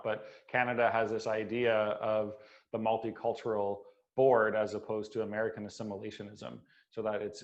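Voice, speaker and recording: A man moderates a discussion panel, passing questions to each speaker in a measured and polite way. His voice is fairly low and stays quite flat.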